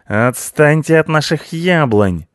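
A man's voice: fairly high pitch, animated, slow pace, a little mellow, no room sound, clean studio sound, pitched above the performer's own voice. A man voicing a rude, hot-tempered ginger tomcat in an animal story, shrieking and hissing threats. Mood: furious.

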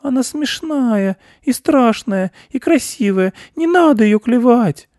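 A man voicing an excitable, tender-hearted little girl in a storybook, with a squealing voice. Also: very high-pitched, measured pace, no room sound, studio quality, voice pushed up, heavily acted. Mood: pleading.

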